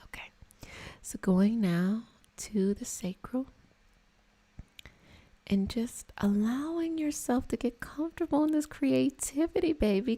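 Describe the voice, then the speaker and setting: A woman hosting an ASMR reiki healing session, talking in a soft, soothing, meandering and conversational way. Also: sits high. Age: adult over 25. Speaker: reiki practitioner and session host. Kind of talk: ASMR guided healing session with casual chat.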